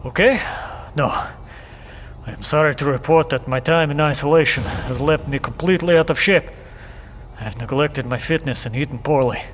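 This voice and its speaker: A man's voice: fairly high pitch, normal speed, natural voice. A man acting in an audio drama as a humble, out-of-shape lone survivor under siege, speaking formally and earnestly to a friend. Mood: weary, apologetic. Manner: formal, earnest, stiffly worded speech. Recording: noisy radio link with gunfire.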